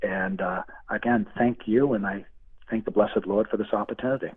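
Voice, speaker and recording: A man's voice: fairly low pitch, fairly even intonation, moderate pace, slightly rough. A middle-aged man with a Boston accent, a guest speaking earnestly about faith in an interview. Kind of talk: interview over the phone. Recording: tinny phone line.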